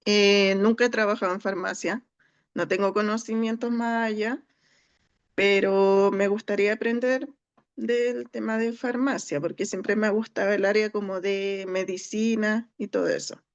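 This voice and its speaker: A woman's voice: medium pitch, steady tone, normal speed, clean tone. A woman introducing herself plainly and modestly as a student in an online class. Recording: dull lo-fi audio.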